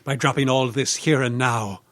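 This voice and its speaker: A man's voice: mid-range voice, fairly even intonation, moderate pace, a bit bright, no room sound, lightly acted. A man playing an indignant, threatening middle-aged father cornered as a murderer, in old-time radio melodrama style. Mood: menacing.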